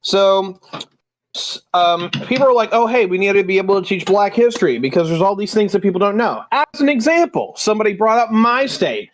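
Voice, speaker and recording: A man with a notably high voice, from Indiana, ranting heatedly with plenty of swearing. He talks casually, like he's chatting with friends. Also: expressive, brisk, low-quality recording. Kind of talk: casual chat.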